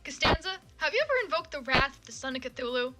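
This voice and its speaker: Melodramatic man acted by a woman, high bright voice, loud theatrical delivery, comic fanfic reading. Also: big pitch swings, quick pace, soft background music, exaggerated voice. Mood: dramatic. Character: melodramatic adult man in comic fan fiction.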